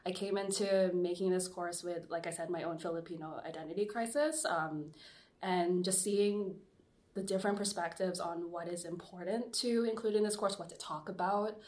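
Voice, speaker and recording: A woman, a guest who once led a seminar, speaking in an earnest, musing way with soft trailing endings. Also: lowish voice, steady tone, normal speed. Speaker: interview guest, seminar facilitator. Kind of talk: casual conversational interview.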